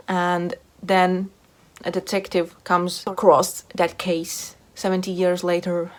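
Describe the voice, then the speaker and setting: Woman, lower-pitched voice, casual book vlogger, informal delivery that leans on repeated words. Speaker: book reviewer video host. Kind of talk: casual book chat to camera.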